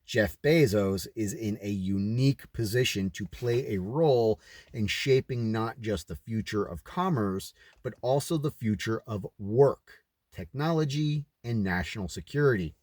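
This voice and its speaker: A man's voice: lowish voice, lively intonation, measured pace, darkish tone, no echo. A man reading aloud and adding his own off-the-cuff commentary, with emphatic, rhetorical delivery. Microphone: recording himself on camera.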